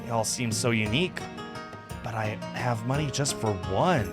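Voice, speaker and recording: A man's voice: mid-range voice, normal speed. A man in his thirties, a game streamer, reading a visual novel aloud in a dramatic narrating style. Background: game music with guitar.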